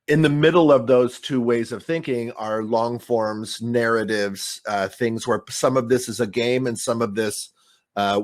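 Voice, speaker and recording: A man from the United States, an improviser speaking on a panel, thinking aloud with frequent fillers. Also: mid-range voice. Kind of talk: online panel discussion.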